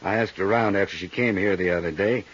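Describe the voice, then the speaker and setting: Casting: chatty middle-aged American male accountant, somewhat deep husky voice, folksy and rambling, muffled vintage radio sound. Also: brisk, fairly bright tone. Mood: chatty, explaining. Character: big, easygoing, chatty male accountant in his late forties. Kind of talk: 1950s radio drama acting. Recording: dull, muffled 1950s radio recording.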